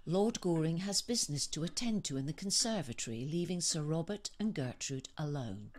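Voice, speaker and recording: A woman's voice: low-pitched, animated, normal speed. A woman narrator reading stage directions for a radio play.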